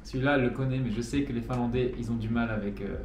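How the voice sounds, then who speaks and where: A young man in his twenties from France, chatting casually and upbeat to camera in a bright bedroom. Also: medium pitch, steady tone, fast, a little hiss or hum. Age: 20s. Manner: upbeat, chatty, laughs often. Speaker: vlogger. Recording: echoey room with music behind.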